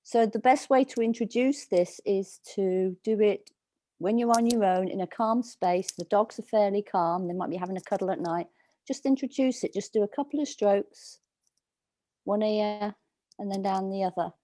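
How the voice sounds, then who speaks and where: A woman dog trainer giving slow, soothing instructions over a video call, with a calming voice. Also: mid-range voice, no room sound. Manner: soft, slow, soothing coaching. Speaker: dog trainer leading a workshop.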